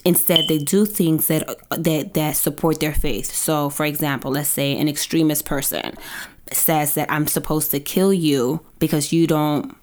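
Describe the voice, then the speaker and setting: A woman co-hosting a casual podcast, her voice very low for a woman. She speaks carefully and in a measured way, working through her points aloud. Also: fairly even intonation. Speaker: podcast co-host.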